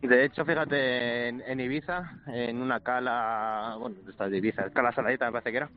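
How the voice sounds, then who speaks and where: A man calling a radio show, telling a lively anecdote. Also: medium pitch, fairly quick. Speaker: caller. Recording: muffled phone line with music.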